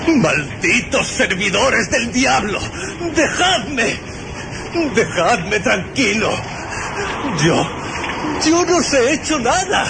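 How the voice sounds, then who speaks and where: A man playing a desperate, panicking man in a horror radio drama, halting and repeating himself in anguish. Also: extremely high pitch, sing-song, creaky, loud music behind the voice, voice pushed up, heavily acted. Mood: desperate panic. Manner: halting, repetitive, anguished exclamations. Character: terrified, desperate man unraveling in claustrophobic panic. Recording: noisy radio drama with loud ambience.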